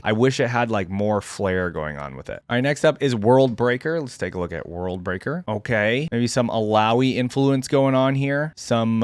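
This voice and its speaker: A man's voice: medium pitch, dry recording. A man hosting a casual reaction video, thinking aloud informally and hedging his opinions as he comments. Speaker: host of a reaction channel. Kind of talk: casual reaction commentary on game character skins.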